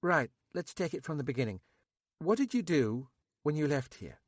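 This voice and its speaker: A man voicing a polite, measured female detective sergeant. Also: fairly high pitch, big pitch swings, dry recording, clean studio sound, pitched above the performer's own voice. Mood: calm.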